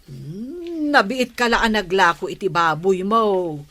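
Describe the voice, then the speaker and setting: A woman's voice: medium pitch, lively intonation, slow, fairly bright tone, heavily acted. A woman playing a frank, sympathetic village neighbour in an Ilocano radio comedy. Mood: chiding. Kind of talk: comedic radio drama acting.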